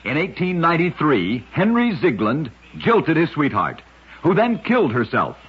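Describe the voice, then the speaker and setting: Husky, high-pitched old-time radio storyteller, a man. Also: huge pitch swings, normal speed. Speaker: radio host and narrator. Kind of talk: scripted narration of short oddity tales, old-time radio. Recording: dull, lo-fi old radio recording.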